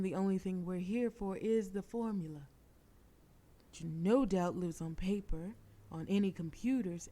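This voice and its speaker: A woman's voice: lowish voice, mellow, lightly acted. A woman playing a smug female mafia boss. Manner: smug, teasing, commanding. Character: cocky, flirtatious female mafia boss, ruthless planner. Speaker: audio roleplay performer. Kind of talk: scripted audio roleplay.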